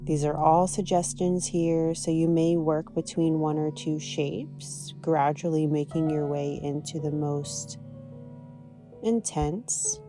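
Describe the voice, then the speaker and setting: A woman teaching yoga, guiding a practice in a gentle, soothing, unhurried manner. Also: sits low, fairly even intonation, music in the background. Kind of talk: guided yoga and meditation instruction.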